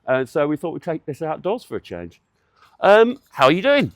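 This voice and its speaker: A man's voice: a little high, huge pitch swings, moderate pace, dry recording. Middle-aged male interviewer, dry close sound. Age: 40s. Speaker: interviewer, magazine series host. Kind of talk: casual on-location interview chat. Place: outdoors in a public park.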